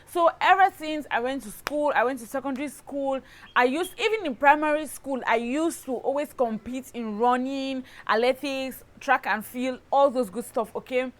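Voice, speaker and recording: A woman with a Cameroonian accent telling a personal story in a casual vlog style, animated and emphatic, often checking in with the listener. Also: sits high, normal speed. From Cameroon, southwest region. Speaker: lifestyle YouTuber, host.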